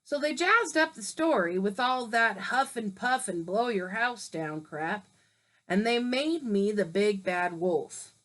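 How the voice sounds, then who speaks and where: A woman's voice: mid-range voice, lively intonation, slow, a bit bright, poor audio. Woman reading as an aggrieved sly wolf. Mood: indignant. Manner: indignant, mock-innocent storytelling. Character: wolf, male, grumbling sly self-pitying narrator.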